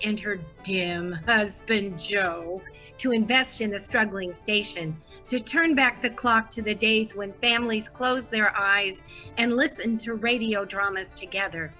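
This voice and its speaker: Radio drama narrator: devoted female fan played by an actress, bright, forceful, grandly earnest. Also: fairly high pitch, rough recording. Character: Petunia Stoneberry, devoted gushing superfan narrating the saga.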